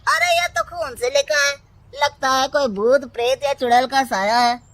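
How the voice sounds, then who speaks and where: A woman's voice: high voice, sing-song, normal speed, fairly bright tone. A woman voicing an agitated, superstitious villager in a cartoon, scolding and exclaiming. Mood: alarmed.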